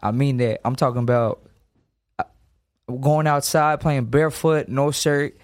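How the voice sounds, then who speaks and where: Young streetwise boxer from Los Angeles. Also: male voice, medium pitch, fairly even intonation, a little slow. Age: 20s. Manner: streetwise slang, emphatic. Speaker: boxer guest. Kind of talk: casual interview.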